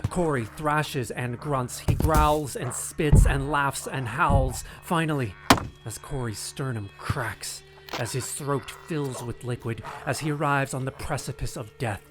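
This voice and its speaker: A man delivering scripted dramatic narration for an audio drama in a grave, deliberate way with suspenseful phrasing. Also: mid-range voice. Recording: narration over prominent music.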